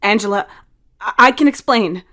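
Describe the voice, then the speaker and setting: A woman's voice: mid-range voice, normal speed, bright, forceful tone, pitched above the performer's own voice. Female narrator as young boy, timid, guilty, hesitant, stammering, apologetic, storybook. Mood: panicked.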